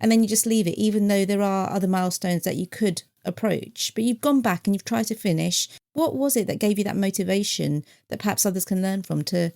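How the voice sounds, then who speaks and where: A woman's voice: medium pitch, a little fast, creaky, hardly any pauses, a little mellow. A woman in her forties hosting an interview, asking curious, encouraging questions. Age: 40s. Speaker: podcast host.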